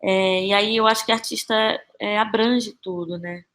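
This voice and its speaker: A Brazilian woman speaks as a guest in an interview, in a middle-pitched voice. Her talk is informal and reflective, and she often checks in with small tag questions. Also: fairly even intonation, normal speed, fairly bright tone. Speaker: podcast guest, actress and playwright. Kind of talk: conversational interview answers.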